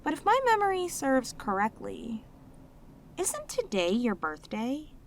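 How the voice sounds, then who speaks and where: Woman voicing a slow, swooping, lonely yandere demoness. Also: high voice, wildly swooping pitch, a little hiss or hum, full character voice. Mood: gentle, hesitant. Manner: tender, hesitant, slightly stammering, clingy, emotive. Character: lonely, sweet, possessive female demon, sealed away, obsessively devoted. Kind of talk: roleplay audio drama, intimate monologue addressed to listener.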